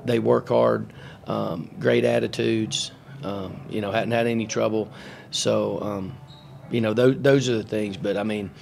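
Gravelly low-voiced coach at a press table. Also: male voice, fairly even intonation, a little mellow, slight background noise. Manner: halting, many fillers and restarts, matter-of-fact. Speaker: basketball coach. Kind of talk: postgame press conference. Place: press room in front of a sponsor backdrop. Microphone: table mic on a stand.

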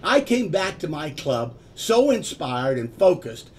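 A man's voice: a little high, very animated, unhurried. An older man, a club vice president, delivering a scripted promotional dialogue with enthusiasm over a video call from a home office.

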